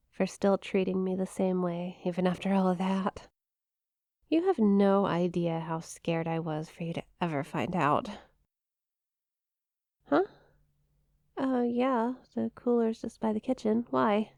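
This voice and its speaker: A woman's voice: fairly low pitch, vocal fry, a little mellow, no room sound, studio quality. A woman playing a shy, playful young eldritch monster classmate in an intimate ASMR roleplay, teasing and hesitant, with a flustered stammer. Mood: bashful, soft. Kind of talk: ASMR roleplay, intimate one-sided conversation.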